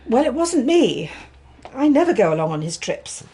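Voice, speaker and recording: An actress playing a brisk, wary office secretary in a radio drama, guarded and quick to correct. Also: female voice, a little high, big pitch swings, dark tone, slightly rough, exaggerated voice. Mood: brisk, firm.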